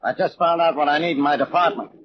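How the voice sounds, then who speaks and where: Man acting a fast-talking gruff police inspector. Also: on the high side, close to an everyday voice. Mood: exasperated. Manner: blunt, sarcastic, impatient. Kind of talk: 1940s radio acting. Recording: muffled, noisy old radio recording.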